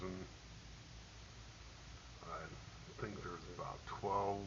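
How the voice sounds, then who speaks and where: A middle-aged man, a military veteran, telling a rambling personal war story, halting with many ums and restarts. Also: lowish voice, a bit bright. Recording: dull lo-fi audio with faint hiss.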